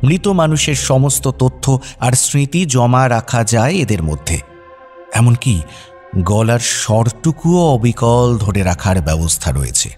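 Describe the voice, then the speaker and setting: Lively male storyteller over music. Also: mid-range voice, unhurried. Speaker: story narrator. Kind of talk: scripted, dramatised storytelling for radio.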